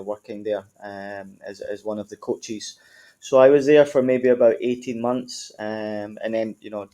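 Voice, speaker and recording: A man in his thirties with an Edinburgh Scottish accent, a football club manager answering podcast interview questions in a relaxed, conversational way with frequent ums. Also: mid-range voice. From Scotland.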